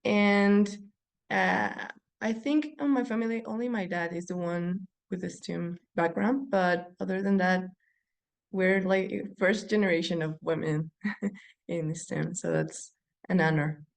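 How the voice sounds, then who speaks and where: A woman's voice: on the low side, a little slow, gravelly. A woman from Mexico City introducing herself on a panel in an upbeat way. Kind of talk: panel discussion. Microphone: video call.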